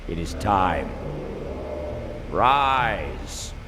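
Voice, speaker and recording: Very deep, solemn mentor. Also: male voice, sing-song, very noisy, exaggerated voice. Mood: solemn, urging. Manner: measured, formal, solemn. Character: solemn male mentor, sage and exacting. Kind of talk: video game cutscene acting.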